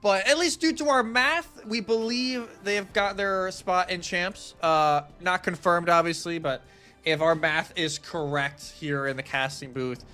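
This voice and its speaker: A man talks through a competitive game as a casual, analytical caster. His voice is slightly high and clearly bright and forward, with faint music underneath. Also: unhurried. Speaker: esports commentator. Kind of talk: casual analytical match commentary.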